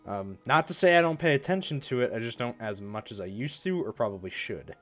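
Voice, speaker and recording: A man's voice: mid-range voice, animated, a little fast, soft background music. Congested young man streaming casually. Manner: rambling, self-correcting, chatty. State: congested with a cold. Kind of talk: casual live-stream chat.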